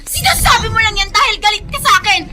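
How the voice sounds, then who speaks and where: An actress playing a haughty, defiant young heiress in a Filipino radio drama, indignant and melodramatic with shrill outbursts. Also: female voice, lively intonation, quick pace, very noisy. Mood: furious, disbelieving. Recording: music behind the dialogue.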